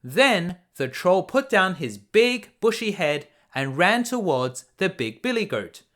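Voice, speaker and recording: A male storyteller reads a children's fairy tale aloud. His delivery is slow and deliberate, with very animated intonation and a bright, clear-cut edge. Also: high voice. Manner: expressive, deliberate.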